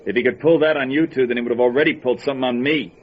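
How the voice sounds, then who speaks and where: A man's voice: mid-range voice, very fast, lightly acted. An actor playing a cold, cocky hitman who talks tough gangster slang in an old-time radio thriller, menacing and sneering. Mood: cocky menace. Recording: dull, noisy lo-fi radio recording.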